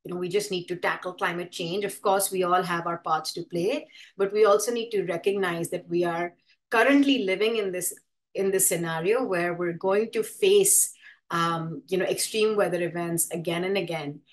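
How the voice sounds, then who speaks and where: Thoughtful woman presenter. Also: fairly low pitch. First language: Hindi. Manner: thoughtful, explanatory, often pausing to rephrase. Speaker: presenter with a background in urban design. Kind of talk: conversational presentation to an online or live audience.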